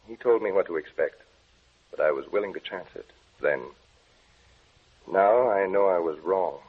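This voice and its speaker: An actor playing a troubled young research scientist in a 1940s radio drama, speaking in a tense, earnest, brooding way. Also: male voice, low-pitched, animated, moderate pace, slightly rough. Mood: grim. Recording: tinny old radio broadcast.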